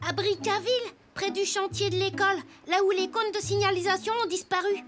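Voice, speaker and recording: A woman's voice: very high-pitched, fairly even intonation, a little fast, a bit bright. A woman playing an earnest, cheerful little wooden boy detective in a children's cartoon. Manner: earnest, eager. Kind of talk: animated children's cartoon dialogue.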